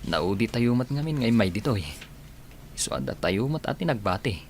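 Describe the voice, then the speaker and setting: A man's voice: medium pitch, animated, normal speed, slight background noise, lightly acted. A man with an Ilocano accent playing a frank, loyal young trainee friend in a radio drama, plain-spoken and sympathetic.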